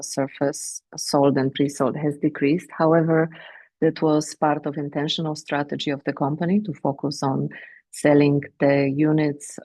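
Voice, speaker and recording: A woman with a Romanian accent presenting company sales figures on an earnings call, measured and pausing often with fillers. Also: low-pitched, warm tone, no room sound. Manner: measured, with frequent filler pauses. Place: remote teleconference.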